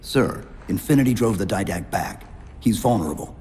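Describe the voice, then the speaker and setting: An actor voicing a stoic, terse armored super-soldier in a video game cutscene. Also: male voice, lowish voice, expressive, moderate pace, mellow, a little hiss or hum, natural voice.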